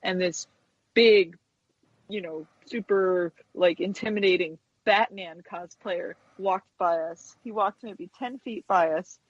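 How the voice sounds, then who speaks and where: Husky American woman, slightly muffled audio. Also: mid-range voice, slow, dry recording. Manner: hesitant, rambling, peppered with "you know". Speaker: guest, stop-motion puppet animator. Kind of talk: casual interview chat, anecdotes.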